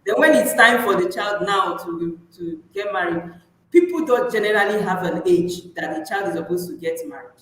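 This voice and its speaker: A woman's voice: mid-range voice, lively intonation, moderate pace. A woman with a Nigerian accent hosting a live interview in a conversational style. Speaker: interviewer and host. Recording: very echoey room, faint noise.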